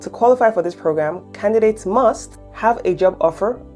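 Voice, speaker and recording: A woman's voice: mid-range voice, moderate pace, darkish tone, faint music behind. A woman from Nigeria, a French teacher and channel host, explaining step by step and often checking in with her viewers. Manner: encouraging, checks in with "okay?". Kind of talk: explainer lesson to camera.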